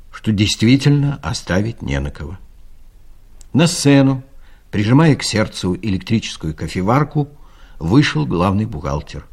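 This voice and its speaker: A man narrates an audio play in a lowish, slightly rough voice. His storytelling is dry and ironic. The intonation is animated, rising and dropping widely. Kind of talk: scripted satirical storytelling narration.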